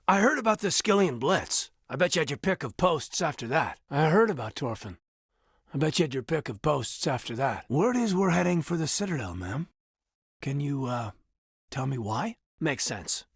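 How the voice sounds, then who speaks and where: A loyal, conflicted officer in a sci-fi video game. His voice is mid-pitched and husky, with a forceful edge and animated movement. He speaks with sincere military intensity, recorded close and dry. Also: no room sound, exaggerated voice. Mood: dutiful, respectful. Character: earnest, loyal, conflicted adult male space marine officer. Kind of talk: video game voice acting, dramatic dialogue.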